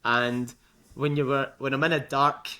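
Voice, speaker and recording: A man's voice: medium pitch, flat delivery, measured pace, bright, forceful tone. A young man with a Scottish accent, a guest in a casual interview, talking in a chatty way with frequent laughs and fillers. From Scotland.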